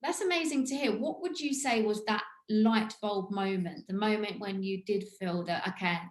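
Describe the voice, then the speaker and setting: A woman host asks questions in an upbeat, encouraging tone, at an ordinary pitch. Her voice carries a roomy echo from the space around her. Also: moderate pace. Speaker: interview host. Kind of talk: interview hosting. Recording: echoey room.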